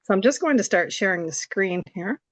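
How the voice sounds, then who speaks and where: A woman with a scratchy, hoarse voice at a medium pitch gives a presentation as a coordinator. She explains in a conversational, polite and slightly hesitant way. The recording is dry, with no room echo. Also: lively intonation, a little fast. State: hoarse from allergies. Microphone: video call.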